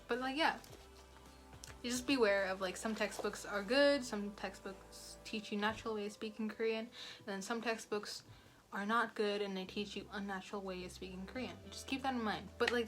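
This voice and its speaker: A woman's voice: mid-range voice, a bit bright. Chatty young woman rambling with fillers. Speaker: language learning vlogger. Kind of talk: casual talking-head advice.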